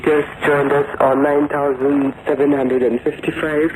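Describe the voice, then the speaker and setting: A man's voice: a little high, flat delivery, a little slow. A man with an Indian accent making formal scripted continuity announcements as a radio announcer over shortwave. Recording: noisy 1980s shortwave radio recording.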